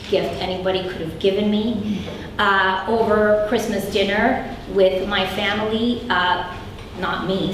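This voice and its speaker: A woman hosting a live event, introducing speakers in a halting way with many fillers. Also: medium pitch, noisy background. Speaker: event host. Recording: roomy hall mic from a distance.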